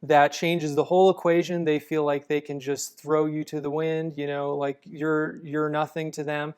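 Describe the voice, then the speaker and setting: A man answering interview questions about apologetics in a calm, measured, explanatory way. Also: fairly high pitch, smooth. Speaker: apologetics guest.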